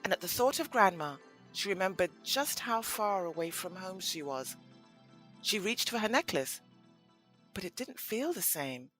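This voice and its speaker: Female narrator for audio drama: storybook delivery, animated pitch, light music bed. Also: measured pace.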